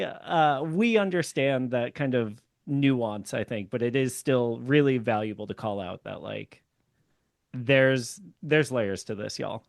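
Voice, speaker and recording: Man, podcast host, relaxed, chatty, dry room. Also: mid-range voice, no echo. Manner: relaxed, chatty, many fillers like "like". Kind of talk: casual podcast chat.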